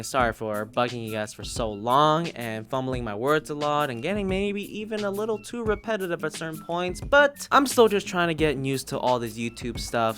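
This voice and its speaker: A man's voice: fairly high pitch, a bit bright. Gushing man reviewer over background music. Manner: gushing, emphatic, freely swearing. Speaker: YouTube reviewer and host. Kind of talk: casual enthusiastic review, recommending audio dramas. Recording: music playing under the voice.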